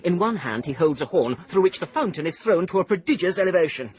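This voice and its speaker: A man's voice: high voice, lively intonation, fast talker. An actor playing a fussy, conceited landscape improver in a radio drama, condescending and chuckling as he lectures. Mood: smug.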